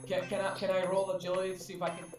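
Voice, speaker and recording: A woman's voice: on the low side, monotone, very fast, darkish tone. A woman playing a tabletop game, talking casually and slipping into her character's lines. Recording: roomy, distant mic. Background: music.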